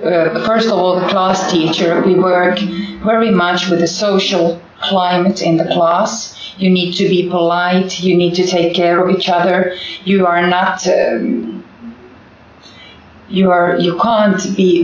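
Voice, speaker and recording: Finnish woman teacher, echoey and distant. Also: lowish voice. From Finland. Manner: earnest, explains with examples. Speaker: primary school teacher. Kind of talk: answering interview questions. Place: school. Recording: echoey, distant, dull audio.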